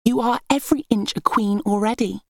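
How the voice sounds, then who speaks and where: A woman narrator puts on a young storybook princess with a raised, girlish pitch. She is sweetly charming and quietly calculating, heard in a dry recording. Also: fairly quick, dry recording. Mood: sweetly flattering.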